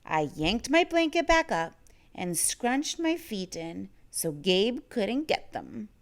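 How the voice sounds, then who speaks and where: Casting: female children's story reader, expressive and very animated, clear voice, unhurried pace. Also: mid-range voice.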